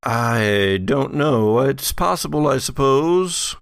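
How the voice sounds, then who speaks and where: A hesitant, musing mystic played by a man in a tabletop audio drama. The voice is slightly gravelly and dry, and the speech halts as thoughts form. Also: medium pitch, expressive, dry recording. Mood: musing, unsure. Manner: halting, thinking aloud.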